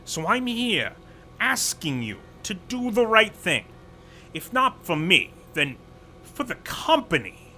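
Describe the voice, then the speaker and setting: A man's voice: high-pitched, big pitch swings, takes it slowly, pushed and bright, slight background noise, exaggerated voice. A man playing a pompous, exasperated corporate vice president in an audio drama, speaking in a formal, condescending, officious way. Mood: urgent pleading.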